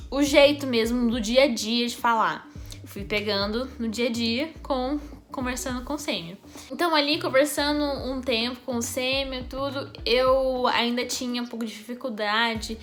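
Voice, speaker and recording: A young woman from Brazil with a Brazilian Portuguese accent, telling a personal story in a chatty, animated vlog, laughing often. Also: on the high side. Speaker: YouTuber.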